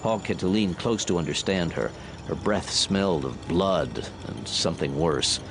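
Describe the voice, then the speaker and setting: Low-voiced male audiobook narrator over background noise. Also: animated, measured pace, gravelly. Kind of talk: dramatic scripted audiobook narration.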